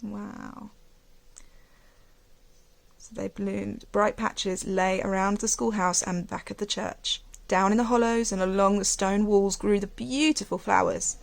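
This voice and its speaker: Woman with creaky voice reading a storybook. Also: medium pitch, unhurried. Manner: expressive, gently questioning. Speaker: teacher reading to young pupils. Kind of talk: storybook read-aloud with gentle asides to children.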